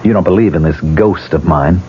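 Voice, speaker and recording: A man's voice: sits low, a little fast, natural voice. An actor playing a tormented, sardonic man who talks to a ghost, in theatrical 1970s radio drama style. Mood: agitated. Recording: muffled, hissy 1970s radio recording.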